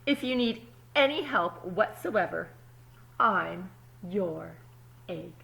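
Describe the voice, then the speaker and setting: Woman reading as an earnest little storybook egg, bright-voiced. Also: medium pitch, lively intonation, gravelly, heavily acted, rough recording. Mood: eager. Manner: emphatic, drawn-out storybook delivery. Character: earnest, dutiful little egg, eager to be good. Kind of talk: first-person children's storybook narration.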